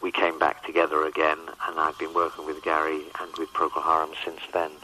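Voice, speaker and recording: A man in his fifties answering questions in a measured, hesitant way on a radio phone interview. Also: extremely low pitch, monotone, bright, forceful tone, a little hiss or hum, rough recording. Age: 50s. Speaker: rock band manager, interview guest. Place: on a phone call to a radio show. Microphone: telephone.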